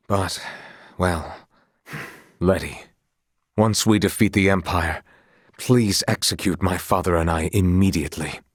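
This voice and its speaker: An actor playing an earnest, guilt-ridden young knight in a fantasy video game, speaking in formal, archaic courtly phrases. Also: male voice, low-pitched, no room sound, studio quality. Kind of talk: dramatic fantasy game voice acting.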